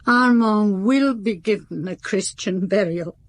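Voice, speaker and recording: An actress playing a reserved, sombre woman in a radio drama, speaking with restrained, deliberate delivery. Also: mid-range voice, no echo, close to an everyday voice. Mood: subdued, sombre. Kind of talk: British radio drama acting.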